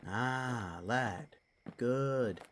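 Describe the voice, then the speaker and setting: A man's voice: medium pitch, very slow, fairly bright tone, a little hiss or hum. A man playing a folksy, theatrical, wryly doom-laden creature in an audio drama. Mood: gloomy, wry.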